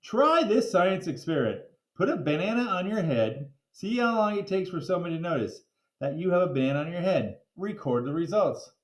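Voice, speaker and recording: A man reading a children's story aloud like a teacher to a class, in a playful, theatrical way with emphatic drawn-out words. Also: high-pitched, big pitch swings, echoey room, poor audio.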